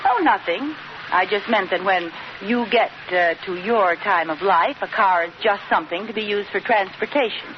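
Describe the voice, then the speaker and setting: An actress playing a teasing, scheming, wisecracking housewife in an old radio situation comedy. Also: female voice, mid-range voice, normal speed, close to an everyday voice. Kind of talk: 1940s-50s radio situation comedy acting. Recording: dull noisy old radio, loud audience.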